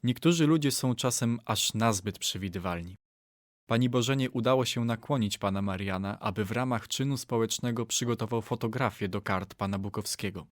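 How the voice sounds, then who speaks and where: A man's voice: on the low side, fairly quick, dry recording, clean studio sound. A man reading scripted story narration in a formal, wry announcer manner.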